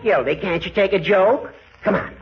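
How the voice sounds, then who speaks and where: A man's voice: high-pitched, normal speed, a little hiss or hum. An actor playing a nagging, querulous male judge and campaign manager in a 1940s radio sitcom. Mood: nosy, pestering. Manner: insistent, querulous. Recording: muffled vintage 1940s radio recording.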